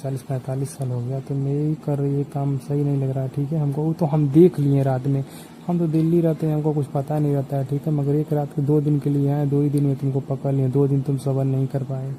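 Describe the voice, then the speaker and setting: A man's voice: mid-range voice, monotone, a little fast, warm tone, slight background noise, natural voice, rough recording. A man playing an indignant 25-year-old son scolding his stepmother, insistent and repetitive in a conversational phone drama. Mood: reproachful.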